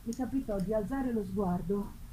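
A woman giving an intense, halting dramatic reading of a gothic novel, repeating words for effect and swinging between hushed and loud delivery. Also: mid-range voice, rough recording. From Italy. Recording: live festival recording with faint noise. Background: live musical accompaniment.

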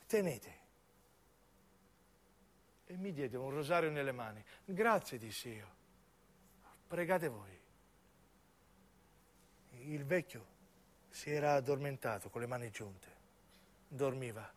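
A man with a southern Italian accent narrating a first-person story as a stage actor, in lyrical, repeating phrases. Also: a little high.

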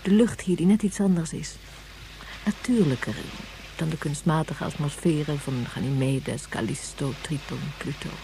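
A woman's voice: low voice, moderate pace. A woman acting a wistful, caring mother in a Dutch science fiction radio drama, on a noisy recording. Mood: wistful, dreamy.

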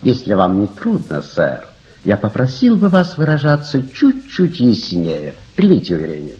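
An actor playing a proud, starchy middle-aged gentleman in a radio drama, formal and pointedly polite. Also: on the low side, wildly swooping pitch, normal speed, slight background noise. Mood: icily polite. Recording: dull, muffled radio drama recording.

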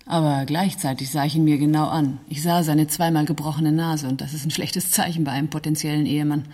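An actress playing a wry, world-weary smuggler's lover in a radio drama, speaking in a dry, sardonic way. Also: female voice, low-pitched, fairly even intonation, a little fast, dark tone. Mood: reflective, wry. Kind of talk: German radio drama dialogue.

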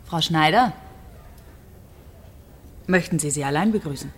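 A businesslike care home nurse in a radio play. Her voice is lowish and polite, matter-of-fact, with faint noise around it. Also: a little slow. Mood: polite, helpful. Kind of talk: radio drama dialogue.

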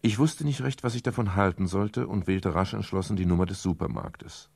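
A moody detective narrating a radio krimi, gravelly and husky, really dry close sound, measured and wistful. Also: male voice, low voice, a little mellow, dry recording, heavily acted. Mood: uneasy. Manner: measured, literary, melancholy. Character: brooding male private detective narrating his own case. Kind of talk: 1970s German radio crime drama, first-person narration.

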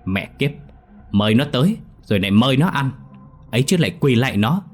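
A man's voice: lowish voice, lively intonation, brisk, faint music behind. A man voicing a cruel, hot-tempered old landlord, snarling and bellowing threats. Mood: raging. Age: older.

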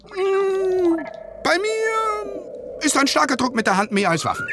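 An actor playing a jolly, boastful but cowardly bird catcher in a theatrical radio play, with comic, hesitant bluster. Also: male voice, very high-pitched, huge pitch swings, slow, noisy background, full character voice. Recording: music behind the voice.

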